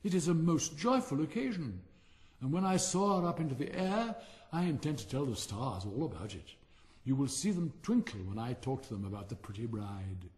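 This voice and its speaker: A man's voice: medium pitch, very animated, moderate pace, darkish tone, big-room sound, close to an everyday voice. A man voicing a small, cheerful, breezy fire balloon in a storybook, exclaiming in a Received Pronunciation accent. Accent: English Received Pronunciation. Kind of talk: theatrical storybook character reading.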